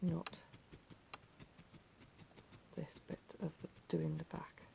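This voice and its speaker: A woman with a slightly croaky voice, patiently talking viewers through a craft step by step and often dropping to a near whisper. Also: deep voice, level tone, slow, noisy background. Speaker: needle felting teacher. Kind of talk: hands-on craft tutorial.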